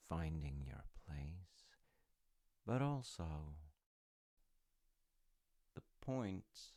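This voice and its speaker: A man playing a reflective, encouraging father in an intimate audio roleplay, musing and rambling in a deep, gravelly voice. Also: heavily acted. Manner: unhurried, musing, gently reassuring.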